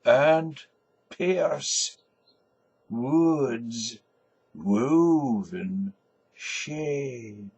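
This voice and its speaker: An older man voicing a groggy young poet who murmurs verse thickly with drawn-out vowels in a literary reading. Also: medium pitch, darkish tone, slightly rough, full character voice. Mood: dazed, dreamy.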